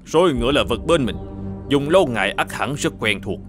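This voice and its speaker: A man's voice: medium pitch, lively intonation, moderate pace, over music, close to an everyday voice. A man voicing a stern, shrewd governor in a folk-tale cartoon, speaking in a commanding, formal and accusatory way. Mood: stern, accusing. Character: stern, shrewd male governor judging a murder case.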